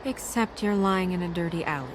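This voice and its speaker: An actress playing a composed, dryly concerned sidekick to a sheriff in a noir video game, measured in manner. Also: female voice, on the low side, noisy background, lightly acted. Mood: dry, practical.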